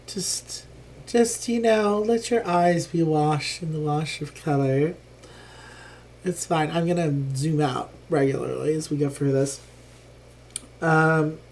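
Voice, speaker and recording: Slow-talking streamer musing over game moves, bit of a leftover cough, faint hum behind. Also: mid-range voice. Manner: thinking aloud, chatty, frequent ums. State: lingering cough after illness. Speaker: live streamer. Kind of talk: casual live board game playthrough commentary.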